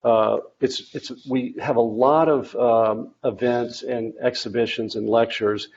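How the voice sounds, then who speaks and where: Man presenting with many halting fillers. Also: medium pitch, steady tone, a little mellow, dull audio, slight background noise. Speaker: architecture school faculty presenter. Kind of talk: virtual open house presentation. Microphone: video call.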